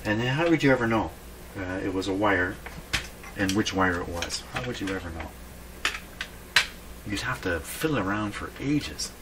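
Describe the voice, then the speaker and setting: A man's voice: lowish voice, animated, moderate pace, long pauses. A man giving casual hands-on repair commentary, thinking aloud and asking himself questions as he works. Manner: musing, asks himself questions. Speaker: radio repair hobbyist and video host. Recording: clearly noisy workshop background.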